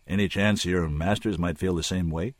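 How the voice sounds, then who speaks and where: A man voicing a big, gruff but kindly barkeeper in a storybook, with a folksy drawl and a growl. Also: low voice, fairly even intonation, moderate pace, dry recording. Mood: laconic, kindly.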